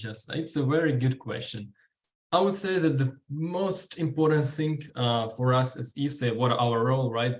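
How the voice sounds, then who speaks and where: A man in his twenties with a Ukrainian accent, speaking informally and haltingly as a guest at a workshop. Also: mid-range voice. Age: 20s. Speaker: guest speaker, student delegate. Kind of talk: informal workshop talk answering questions.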